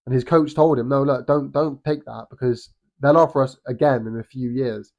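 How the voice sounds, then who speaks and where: A man's voice: medium pitch, fairly even intonation, moderate pace, darkish tone, muffled recording. British man with a nasal cold. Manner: rambling, frequent restarts and ums. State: has a cold, slightly nasal. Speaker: boxing vlogger. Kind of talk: casual opinion monologue.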